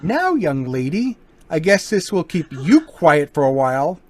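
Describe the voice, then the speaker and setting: An actor in an amateur old-time radio play, voicing a smooth, mocking gentleman burglar with a lively, swinging delivery. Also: on the high side, a little slow, no echo. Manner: smooth, mocking, turns menacing. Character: suave, cocky male gentleman burglar.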